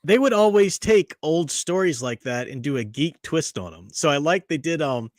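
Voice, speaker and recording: A man's voice: on the high side, lively intonation, normal speed, no echo, clean studio sound. A man hosting a casual podcast discussion, rambling with frequent restarts and fillers. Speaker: podcast host.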